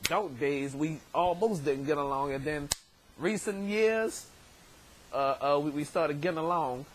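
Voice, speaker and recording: Hoarse male vlog host in his thirties, fairly high voice, rambling and stammering, webcam in a bedroom with faint hiss. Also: measured pace. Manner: rambling, many stammered repeats. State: hoarse, voice worn from shouting. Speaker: show host. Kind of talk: casual vlog shout-outs. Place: bedroom, indoors. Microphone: webcam at desk distance.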